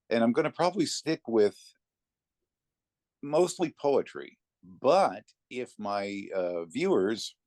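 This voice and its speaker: A man talking conversationally as an interview guest, telling anecdotes in a reflective way with thoughtful pauses. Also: fairly low pitch, darkish tone, no echo, studio quality. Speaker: interview guest, narrator of classic readings.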